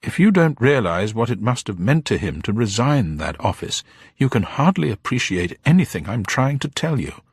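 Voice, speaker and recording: A man's voice: mid-range voice, sing-song, moderate pace, gravelly, husky, dry recording, clean studio sound, voice pushed up, heavily acted. A man voicing a little old upper-class woman, genteel, formal, grieving and proud, in an audiobook story. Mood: stiff, indignant. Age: older. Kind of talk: dramatised audiobook character voice.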